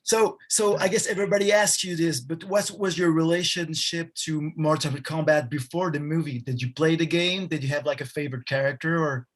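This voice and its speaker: A man with a French accent, interviewing over a video call and asking friendly questions in quick bursts. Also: on the high side, steady tone. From France.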